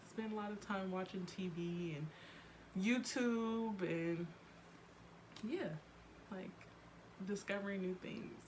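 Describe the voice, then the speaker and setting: A woman in her twenties from Ohio, chatting casually to camera with lots of ums and quick asides. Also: mid-range voice, a little hiss or hum, low-quality recording. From Cleveland, Ohio. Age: 20s. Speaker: vlogger.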